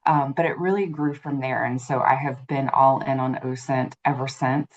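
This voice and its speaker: A woman in her thirties answering as an interview guest, earnest, fluent and measured. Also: rumbling low, fairly even intonation. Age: 30s. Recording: muffled lo-fi audio.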